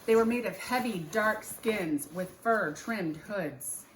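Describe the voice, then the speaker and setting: A woman reads a children's story aloud at an unhurried, measured pace. Her delivery is expressive and deliberate, like a teacher telling a tale to young listeners. There is slight noise behind her voice. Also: medium pitch, roomy sound, rough recording. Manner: slow, deliberate phrasing with animated character voices and sound effects.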